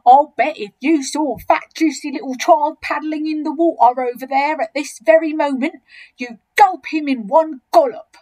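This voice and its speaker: A woman voicing an enormous, greedy, boastful male crocodile in a children's storybook, with gloating, drawn-out emphasis. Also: high voice, a little mellow, voice pushed up, close to an everyday voice, low-quality recording. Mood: boastful, scheming. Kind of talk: children's storybook character reading.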